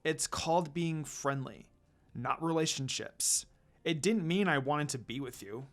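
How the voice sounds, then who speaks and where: A reader plays a young woman in a fantasy story, outspoken and firm. Her delivery is kind underneath but easily exasperated, with a lively, changeable pitch. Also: measured pace.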